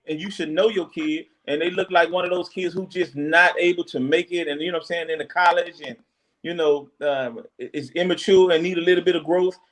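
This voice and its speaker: A man holding forth on a casual podcast, earnest and a little preachy as he hands out advice to parents. His voice sits fairly high for a man. He circles back on himself with "you know what I'm saying.". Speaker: podcast co-host.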